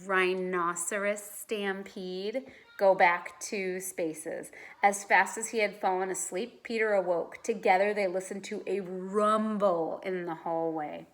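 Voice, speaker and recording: A woman's voice: mid-range voice, fairly even intonation, takes it slowly. A woman reading a children's storybook aloud like a teacher, expressively and with dramatic emphasis.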